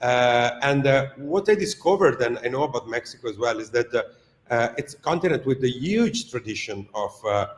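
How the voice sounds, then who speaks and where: Male interviewer, mid pitch, halting delivery, roomy video-call sound. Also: lively intonation. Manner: hesitant, many "uh" fillers and restarts. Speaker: interviewer for a craft school. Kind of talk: interview questions and comments.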